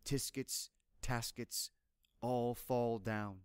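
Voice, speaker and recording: A man gives a measured poetry recitation at a very unhurried pace. His voice is mid-pitched and resonant, recorded very dry and close. Each phrase is placed with deliberate care. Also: steady tone, smooth, no room sound. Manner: measured, deliberate, literary delivery. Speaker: poetry reader.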